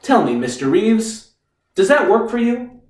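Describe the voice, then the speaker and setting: A man playing a cool, condescending army defense lawyer in a stage drama, deliberate and probing. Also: high-pitched, big pitch swings, a little mellow, voice pushed up. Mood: cold, probing. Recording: echoey room, distant microphone.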